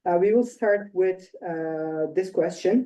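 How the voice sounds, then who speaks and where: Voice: mid-range voice, unhurried, warm tone. A moderator reading out discussion questions with hesitant fillers. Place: university event room. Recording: dull, distant room sound.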